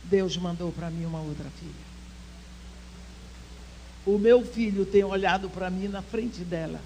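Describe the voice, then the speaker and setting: Older woman giving slow, heartfelt testimony. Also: fairly low pitch, slight background noise, low-quality recording. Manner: heartfelt storytelling, swings between soft near-whispers and emphatic exhortation. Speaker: conference speaker. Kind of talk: personal testimony at a church women's conference.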